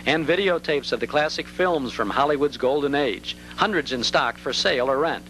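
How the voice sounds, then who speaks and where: A man reading scripted advertising copy as a commercial announcer in a polished, measured way. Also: fairly high pitch, bright, forceful tone, a little hiss or hum, low-quality recording.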